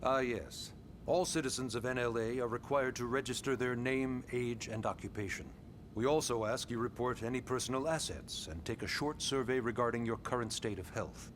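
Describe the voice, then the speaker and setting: A man playing a senior government official in a video game, speaking in a formal, courteous and deliberate way. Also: medium pitch, a little hiss or hum, close to an everyday voice. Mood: polite, formal. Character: formal, polite senior male government official, calmly authoritative. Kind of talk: English video game cutscene acting.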